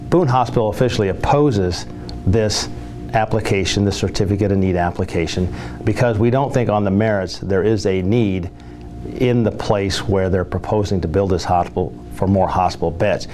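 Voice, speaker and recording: A man speaking as a hospital representative, delivering a prepared, persuasive public address in a measured, formal, explanatory manner. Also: sits low, darkish tone.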